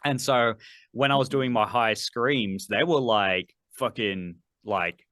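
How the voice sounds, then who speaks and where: A man in his thirties talks through his story in a loose, meandering way, stacking up filler words and dropping casual curses. His voice sits at an ordinary middle pitch. The recording is dry and close, with no room sound around him. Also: takes it slowly, fairly bright tone, no echo. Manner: rambling, filler-heavy, swears casually. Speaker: podcast guest, metal vocalist. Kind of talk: casual interview chat.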